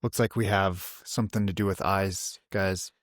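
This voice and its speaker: A man with a fairly low voice talks casually as a player at a tabletop game. He is recorded dry, without noticeable echo. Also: fairly even intonation, moderate pace.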